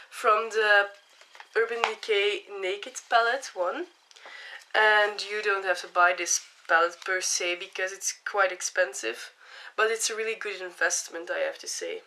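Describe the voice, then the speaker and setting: A young woman with a cold and a hoarse voice, chatting casually as she explains each step of a makeup tutorial. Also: mid-range voice, fairly even intonation, a little slow, sharp tone.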